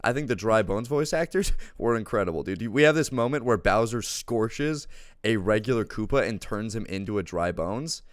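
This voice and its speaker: A man's voice: on the low side, expressive, normal speed, dry recording, clean studio sound. A man chatting casually as a film reviewer, enthusiastic and peppering his talk with "dude". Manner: enthusiastic, says dude often. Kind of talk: casual chat movie review.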